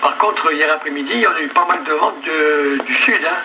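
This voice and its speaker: A man's voice: a little high, moderate pace, muffled recording. An older man chatting casually as an amateur radio operator over a two-way link. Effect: two-way radio transmission. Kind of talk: casual ham radio chat. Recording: very noisy VHF radio relay.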